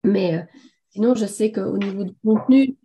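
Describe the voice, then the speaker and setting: Hoarse woman hosting a webinar, mellow. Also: mid-range voice, moderate pace. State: hoarse from a sore throat, coughing. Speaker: webinar host. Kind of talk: live webinar presentation. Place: online video conference.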